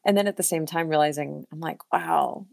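A woman's voice: deep voice, fairly quick, gravelly, a little mellow, no room sound, clean studio sound. An American woman talking reflectively in a casual interview, with many fillers and laughs. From United States. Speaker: singer-songwriter guest.

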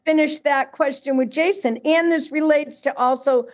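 A woman with a Boston accent talks in a bright, high voice. She speaks as a casting director in an easy, emphatic way, explaining her trade and stressing her points. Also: moderate pace. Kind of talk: casual radio talk.

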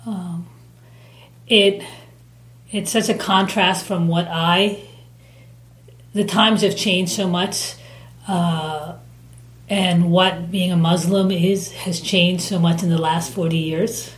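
A woman in her forties speaks in a slightly low voice, reflective and hesitant, filling her pauses with ums. The room around her is echoey and reverberant, as in an interview setup. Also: fairly even intonation. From United States. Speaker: interviewee, mother of a teen.

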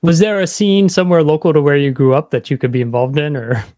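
A man's voice: fairly high pitch, steady tone, fast talker, mellow, dry recording, clean studio sound. A man hosting a podcast, asking questions in an easygoing way and affirming often. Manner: easygoing, affirming with frequent "yeah"s. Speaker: podcast host. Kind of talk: casual interview chat.

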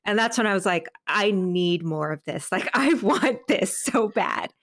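A woman's voice: medium pitch, animated, a little slow, fairly bright tone, dry recording, studio quality. A woman chatting casually as a podcast guest, gushing and informal, often saying "like" and "you know". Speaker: interview guest, audio drama creator and narrator. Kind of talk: casual chat in a podcast interview.

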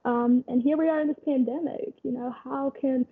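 A young woman in her twenties, originally from Kenya, telling her story as an interview guest in a relaxed way, with many fillers. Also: sits high, moderate pace, husky. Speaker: guest, photographer and community founder. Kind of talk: conversational interview. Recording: tinny phone-like line.

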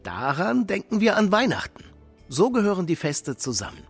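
An actor playing a gentle, middle-aged widowed father who patiently explains faith to children in a children's audio drama. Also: high-pitched, huge pitch swings, moderate pace, breathy edge, soft background music, heavily acted. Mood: patient, earnest. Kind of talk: German children's radio play acting.

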